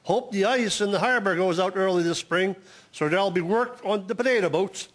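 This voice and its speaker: High-voiced plain-spoken working man, acted. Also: a little fast, a little hiss or hum, natural voice. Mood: weary, hopeful. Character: plain-spoken labourer in a snowbound town. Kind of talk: historical radio drama acting.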